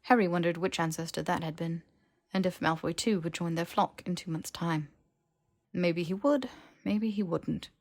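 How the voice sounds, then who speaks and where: A woman narrates a fantasy story with a slightly low voice. Her reading is scripted and expressive, in the manner of an audiobook. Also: normal speed.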